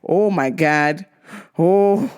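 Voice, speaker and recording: A woman hosting a casual podcast, giving fight picks in a crude, joking, animated way. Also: on the low side, extremely slow, heavy background noise. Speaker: podcast host.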